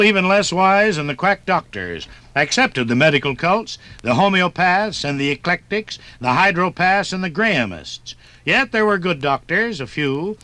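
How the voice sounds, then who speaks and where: A man's voice: high voice, bright, forceful tone, no room sound. An American man narrating a 1950s radio documentary as a folksy, dramatic storyteller. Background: music.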